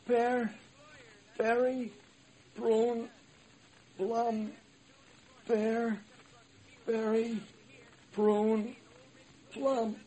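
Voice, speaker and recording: An actor playing a dazed, irrational injured man in an old-time radio drama, mumbling haltingly and repetitively. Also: medium pitch, little pitch movement, warm tone, breathy edge, rough recording. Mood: dazed, delirious. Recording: vintage radio recording.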